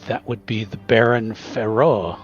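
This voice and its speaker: A man playing a humble, smooth-talking traveling servant in a tabletop game, fawning and grateful, repeating his polite words. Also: on the low side, fairly even intonation, a little slow, highs cut off, soft background music, natural voice. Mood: smooth, ingratiating.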